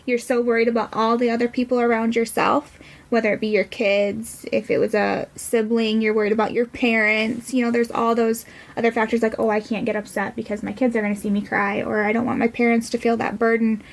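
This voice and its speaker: A woman's voice: fairly high pitch, little pitch movement, a little fast, gravelly. A woman talking in a plain-spoken, informal way as a guest in a conversational interview. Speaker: podcast guest from a support non-profit.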